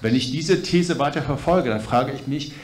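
A man's voice: on the high side, normal speed. Hesitant man speaking in a roomy hall. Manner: hesitant, many filler sounds. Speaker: audience member asking a question. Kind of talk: spontaneous remarks and question. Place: parliamentary conference room. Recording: roomy hall with faint noise.

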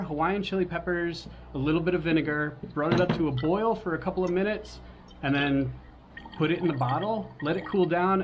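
Upbeat forties man, bit high-pitched, cooking vlog host on a porch; faint outdoor hum behind. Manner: upbeat, laughs often. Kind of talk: casual chat.

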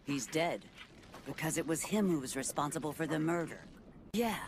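A woman's voice: low-pitched, normal speed, a little hiss or hum, natural voice. A woman voicing a cruel, youthful, androgynous shapeshifting monster in an anime dub, sneering and playfully taunting. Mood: mocking, playful. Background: loud music.

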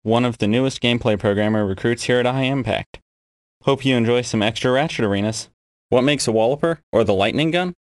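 A man's voice: mid-range voice, fairly quick, no echo. A man, a game programmer, giving a scripted personal message.

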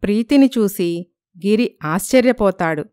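A woman's voice: medium pitch, expressive, unhurried, mellow, smooth, no echo, clean studio sound. A woman reading scripted narration as a storyteller.

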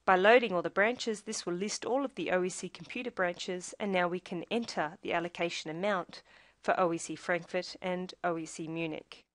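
A woman reading a scripted software tutorial, explaining each step in a measured, instructional way. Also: fairly low pitch, steady tone, no room sound.

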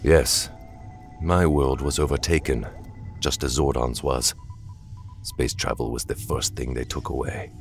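Man playing a very deep-voiced alien pilot. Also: steady tone, measured pace, creaky, long pauses, faint music behind, exaggerated voice. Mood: grave, calm. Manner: terse, matter-of-fact. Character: seasoned male alien space pilot, calm and grave.

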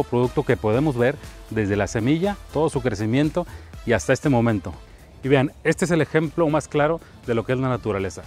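A man's voice: medium pitch, darkish tone. A man with a Mexican accent, presenting a culinary travel show as a chef and host. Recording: music under the voice. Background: background music.

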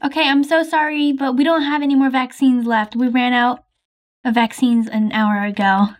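Doctor Kim, a woman playing a brisk doctor. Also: sits high, little pitch movement, lightly acted. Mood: calm. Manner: brisk and reassuring. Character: calm, practical female doctor. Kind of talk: improvised game roleplay voice-over.

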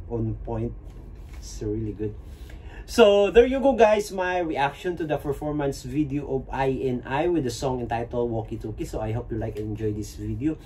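A man's voice: mid-range voice, warm tone, slight background noise, low-quality recording. A man in his thirties with a Filipino accent gives casual, enthusiastic, admiring reaction commentary as a video host. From Philippines. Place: small plain indoor room.

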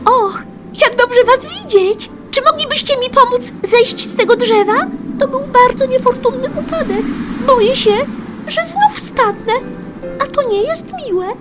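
A woman's voice: extremely high pitch, unhurried, low-quality recording. A woman voicing a small, sweet and friendly fallen star in a children's audio play, with music under her. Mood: relieved, pleading.

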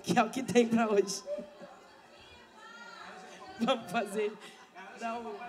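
Hoarse woman chatting from a bar stage. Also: a little high, little pitch movement, slow pace, crowd noise. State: hoarse, losing her voice. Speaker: samba singer and show host. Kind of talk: chatty stage talk between songs at a live show. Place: bar stage with a live audience, indoors. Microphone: handheld mic. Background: live samba band.

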